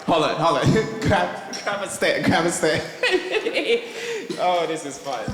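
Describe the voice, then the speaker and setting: A man with a high, swinging voice runs an open mic night, laughing and firing up the crowd. He works from a coffeehouse stage on a handheld mic. The sound rings through a roomy space with a long reverb tail. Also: slow pace, slight background noise. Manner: energetic, laughing, hyping the crowd. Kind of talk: lively event hosting. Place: coffeehouse stage with live audience. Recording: echoey room with long reverb.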